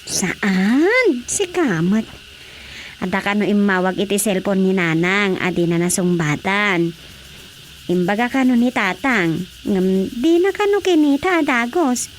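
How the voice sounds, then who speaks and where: A woman's voice: mid-range voice, animated, measured pace, a little hiss or hum, natural voice. A woman playing a worried married daughter in a Filipino radio drama comedy, speaking expressively with a Filipino accent. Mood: worried, concerned. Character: worried married daughter, concerned for her quarreling parents.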